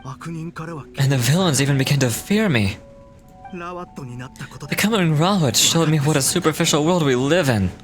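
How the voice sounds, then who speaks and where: A man's voice: on the high side, lively intonation, moderate pace, pauses often, close to an everyday voice. A man voicing a bitter, self-justifying researcher in a video game, telling his story dramatically, like a scorned impostor. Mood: sorrowful resentment. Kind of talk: scripted video game voice acting. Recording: music behind the voice.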